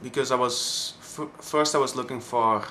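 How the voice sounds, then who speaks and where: A man of twenty-one from Belgium with a Flemish accent, his first language Dutch, speaking haltingly with many pauses in a personal vlog. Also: mid-range voice, fairly even intonation, bright, forceful tone, a little hiss or hum. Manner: hesitant, many ums and pauses. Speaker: vlogger.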